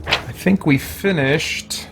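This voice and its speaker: A man's voice: on the high side, slow pace, creaky, noisy background. A man giving casual gameplay commentary as a streamer, musing to himself as he goes. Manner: thinking aloud, musing to himself. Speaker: game streamer.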